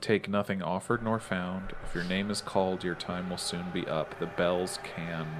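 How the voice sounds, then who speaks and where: A man's voice: sits low, flat delivery, normal speed, background noise. A man talking casually and in character as a player in a tabletop role-playing game.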